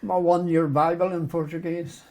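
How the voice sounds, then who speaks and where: A man's voice: fairly high pitch, little pitch movement, normal speed, darkish tone. An older man with a Belfast accent, looking back on his life in an interview, self-deprecating and often breaking into chuckles. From Northern Ireland. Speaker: interviewee, retired doctor. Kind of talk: reminiscing interview.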